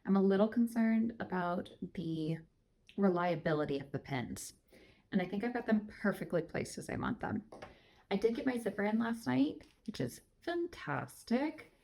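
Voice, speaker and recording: A woman's voice: mid-range voice, very animated, moderate pace, mellow. A woman talking casually to her viewers while she sews, calling them "my darlings" and explaining her progress. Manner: chatty, addresses viewers as "my darlings". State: croaky from a cold. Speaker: sewing vlogger. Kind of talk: casual sewing vlog talk to viewers.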